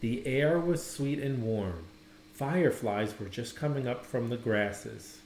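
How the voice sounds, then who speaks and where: A man reading a children's picture book aloud with expressive storytelling, recorded in an echoey room. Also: medium pitch, slow pace, a little hiss or hum.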